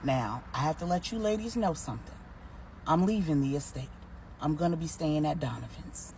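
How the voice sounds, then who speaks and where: A woman voicing a proud, independent older matriarch in a story, blunt, folksy and measured. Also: low voice, animated, darkish tone, a little hiss or hum, voice pushed down. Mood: firm.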